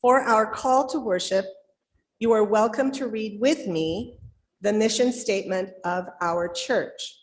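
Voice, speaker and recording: A woman's voice: mid-range voice, a little slow. A middle-aged or older woman leading a church service, addressing the congregation in a large auditorium. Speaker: service leader. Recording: long hall reverb.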